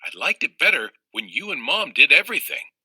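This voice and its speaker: A man's voice: fairly high pitch, big pitch swings, brisk, bright, forceful tone, breathy edge, dry recording, full character voice, poor audio. A man voicing an anxious, questioning storybook child who speaks in an earnest, pleading way. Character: anxious, questioning young child narrator of a novel.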